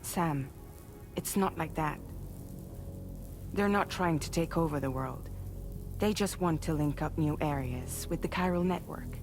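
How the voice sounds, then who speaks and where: A low-pitched, composed woman speaks in a cinematic video game. She is measured and earnest, explaining and persuading with care. Music plays clearly behind her. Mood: reassuring, persuasive. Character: composed, persuasive woman recruiting a freelance courier.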